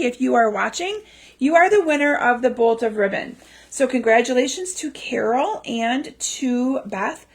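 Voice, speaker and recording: A woman hosting a crafting livestream in chatty, casual talk full of ums, her voice slightly raspy from a lingering cough. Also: fairly high pitch. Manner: chatty, lots of ums and restarts. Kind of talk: casual live-stream chat. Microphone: livestream mic.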